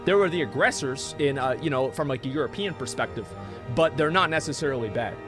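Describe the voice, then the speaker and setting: A man's voice: mid-range voice, animated, brisk, over music. A man streaming a game live, rambling in casual, profane chat with many restarts.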